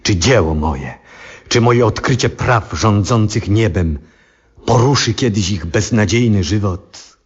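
An actor playing an aging, troubled, devout astronomer in a 1970s radio drama, speaking in a solemn, reflective and husky way. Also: male voice, lowish voice, animated. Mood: sorrowful, brooding. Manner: solemn, reflective, theatrical, deliberate phrasing. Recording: dull vintage radio recording.

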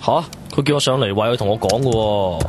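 Actor as blunt, nosy Hong Kong buddy. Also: male voice, on the low side, fairly quick, over music, close to an everyday voice. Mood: curious. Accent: Hong Kong Cantonese. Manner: blunt, questioning. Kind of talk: colloquial radio drama acting.

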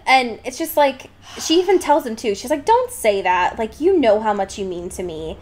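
A woman co-hosting a podcast, chatting casually about a book in an expressive, slangy way with exasperated humour. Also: a little high, normal speed. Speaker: podcast co-host. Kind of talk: casual book discussion chat.

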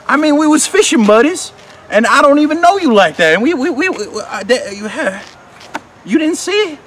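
A man's voice: a little high, sing-song, normal speed, a little hiss or hum. A man playing an agitated former henchman in an amateur comedy drama, stammering and flustered. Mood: flustered, excitable.

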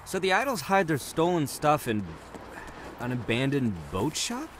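A man's voice: fairly high pitch, sing-song, moderate pace, slight background noise. An actor playing a cocky, sardonic young male gang boss in a video game, wisecracking and swearing. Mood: wry, casual. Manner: sardonic, foul-mouthed.

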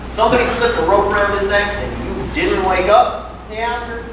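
A man's voice: sits high, steady tone, moderate pace, close to an everyday voice. A young man on a school stage playing a plain-spoken farmer neighbor, telling his account in a folksy, hesitant way. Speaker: student actor. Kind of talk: amateur stage acting. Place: high school auditorium stage. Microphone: far camera in the audience. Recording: echoey hall, far off, noisy.

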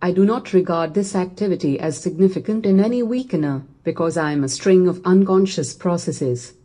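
Text-to-speech lady voice, lowish, soft mellow tone, reads everything the same even way. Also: fairly quick. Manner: even, machine-read recitation. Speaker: smart speaker voice assistant. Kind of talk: synthetic text-to-speech reading.

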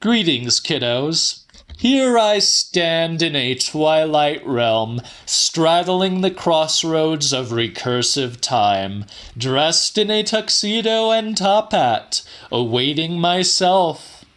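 A man's voice: a little high, very animated, slow, a bit bright, voice pushed up, exaggerated voice. A man voicing a gloating, grandiose supernatural killer in a pompous, theatrical manner for a horror audiobook. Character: gloating, grandiose male supernatural killer addressing his audience.

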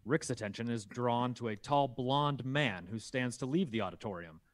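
A man's voice: mid-range voice, moderate pace. A man reading scripted stage directions as the narrator of a table read. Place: indoors on a group video call.

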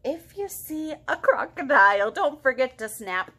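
A woman in her thirties reading aloud to young children as a storytime host, expressive and full of questions to draw them in. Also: sits high, a little slow. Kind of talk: animated picture-book read-aloud for young children. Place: living room at home.

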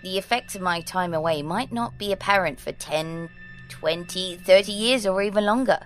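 A woman's voice: on the low side, moderate pace, faint music behind, lightly acted. An actress playing a prim, ancient girl in a nine-year-old's body, in an audio drama. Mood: prim, composed. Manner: proper, old-fashioned formal phrasing.

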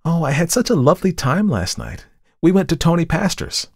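A male reader puts on a merry young artist's model from a storybook. She chatters in a bright, girlish way, pitched up and full of swoops, with a dry, close sound. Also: big pitch swings, moderate pace, no room sound. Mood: merry, chatty.

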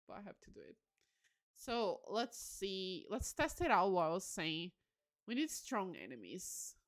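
A woman's voice: mid-range voice, wildly swooping pitch, a little slow. A woman in her late twenties, a bit tired, chatting casually and candidly as she livestreams a game, giggly and self-deprecating. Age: late 20s.

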